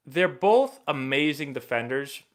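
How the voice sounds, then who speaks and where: Rambling excitable man hosting a baseball stream. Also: mid-range voice, measured pace. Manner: rambling and excitable, many fillers and restarts. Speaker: host of a live baseball Q&A stream. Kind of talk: casual live-stream chat answering viewer questions.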